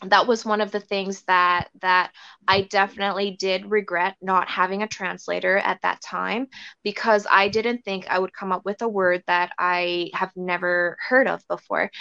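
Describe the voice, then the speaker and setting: A woman's voice: mid-range voice, bright, forceful tone, smooth, dry recording, highs cut off. A young woman raised in Canada with Thai as her first language, telling a story as an interview guest in a rambling way, full of "like" and "um" and restarts. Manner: rambling, filler-heavy with many restarts.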